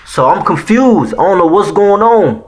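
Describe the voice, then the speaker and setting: A man's voice: sits high, animated, moderate pace, background noise. A man in his thirties from Haiti with a Haitian accent, telling a personal story to viewers in a casual, slangy, profane way with lots of "man" fillers. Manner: slangy and profane, peppered with "man" and "you know what I'm saying".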